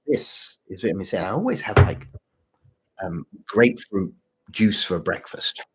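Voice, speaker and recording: A man with a slightly sore throat and husky voice chats casually to livestream viewers as host, halting and repeating his phrases. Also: low voice, lively intonation, a little hiss or hum, rough recording. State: mild sore throat, voice deeper than usual. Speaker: channel host and story writer.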